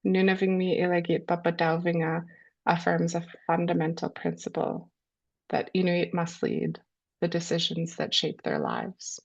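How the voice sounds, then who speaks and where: A woman with a low voice and a cold, hosting a webinar and introducing its speakers in a measured way with frequent ums. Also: expressive, vocal fry. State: has a cold. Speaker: webinar host. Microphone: video call. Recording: lo-fi video call audio.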